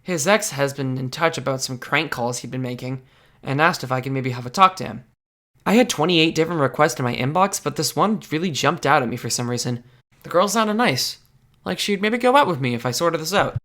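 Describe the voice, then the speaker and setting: A man's voice: on the high side, animated, fairly quick, bright, forceful tone. A man playing an earnest, insecure teenage amateur superhero in a comic dub, speaking as narrator and in dialogue. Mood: confiding, uneasy. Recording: background music under the voice.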